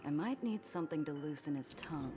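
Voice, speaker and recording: A woman's voice: low voice, lively intonation, quick pace, warm tone. An actress playing a tough, world-weary smuggler woman in a 1930s noir game, wry and clipped. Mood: cool, watchful.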